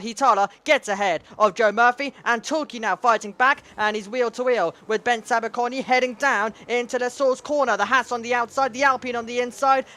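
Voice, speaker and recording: A man commentating a sim racing league race, calling battles and building to excited shouts when cars fight wheel to wheel. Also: very high-pitched.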